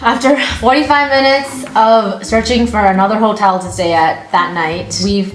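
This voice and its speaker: Young woman, mid-pitched voice, casual chatty storytelling, roomy echo, soft music underneath. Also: steady tone, normal speed. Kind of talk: casual anecdote with friends.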